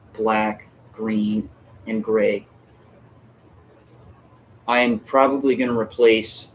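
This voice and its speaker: A man talks casually and slowly, as if thinking aloud while hosting a stream. His manner is informal, and there is faint noise in the background. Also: on the low side, low-quality recording. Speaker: livestream host and product maker. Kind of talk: casual chat.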